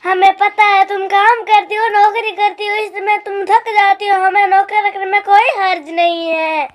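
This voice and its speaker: Woman voicing a very high, nagging mother bird. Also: fairly even intonation, normal speed. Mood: scolding. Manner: scolding, lecturing, affectionate address. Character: nagging, exasperated mother and mother-in-law bird, middle-aged. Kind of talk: Hindi cartoon story dialogue.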